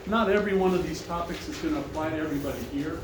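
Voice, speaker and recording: A man's voice: a little high, very fast. Big-voiced man presenting in an echoey room. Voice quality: big, carrying voice. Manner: friendly, conversational, invites questions. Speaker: financial seminar presenter. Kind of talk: presentation to a seated audience. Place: restaurant dining room. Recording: echoey room, mic far off, noisy.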